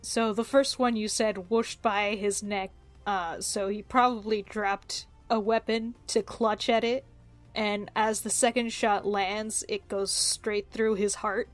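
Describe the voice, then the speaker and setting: A woman's voice: medium pitch, steady tone, takes it slowly, faint music behind. A woman playing a tabletop roleplaying game, casually describing what her character does.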